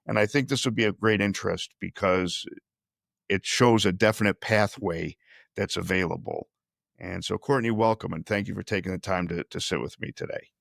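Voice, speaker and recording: A man with a very low, gravelly voice hosts a podcast interview. He talks in a measured, unhurried way and often restarts his sentences. The sound is dry and close. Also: a bit bright, dry recording. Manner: measured, with frequent restarts and fillers. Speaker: podcast host. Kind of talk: conversational interview.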